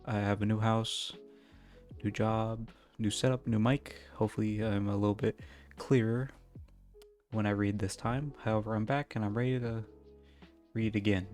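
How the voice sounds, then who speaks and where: A man's voice: on the low side, fairly even intonation, normal speed, a little mellow, soft background music. A man reading a story aloud in an informal, amateur storytelling style. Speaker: fan fiction reader. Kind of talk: storybook narration, casual intro.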